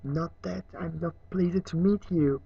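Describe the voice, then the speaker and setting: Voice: mid-range voice, fairly even intonation, unhurried, vocal fry, slight background noise, voice pushed up. A reader voicing a polite, awkward young boy wizard in a storybook, with a hesitant, tactful manner. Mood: apologetic, kind. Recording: muffled, echoey room recording.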